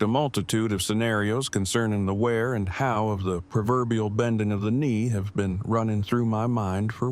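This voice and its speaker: Earnest, wordy boyfriend for romantic audio drama, male actor: lowish voice, stiffly formal and precise phrasing. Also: close to an everyday voice. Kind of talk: fan audio drama acting.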